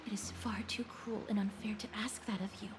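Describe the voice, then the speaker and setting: A woman playing a gentle, composed young maiden in a fantasy game, speaking in a polite, calm and careful way. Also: mid-range voice, fairly quick, music in the background, close to an everyday voice. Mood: calm, sincere. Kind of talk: video game character dialogue.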